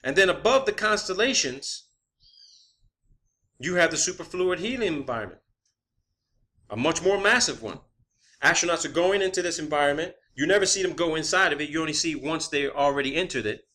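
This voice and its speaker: A man's voice: fairly high pitch, expressive, a little fast, sharp tone. A man presenting an unscripted online monologue, insistent and emphatic, swearing often and repeating himself to drive points home. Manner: rhetorical questions and repetition for emphasis. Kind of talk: unscripted conspiracy theory monologue.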